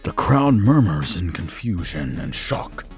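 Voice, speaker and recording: Animated male storyteller over music. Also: sits low, unhurried. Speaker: narrator. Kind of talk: scripted story narration for an animated fan series.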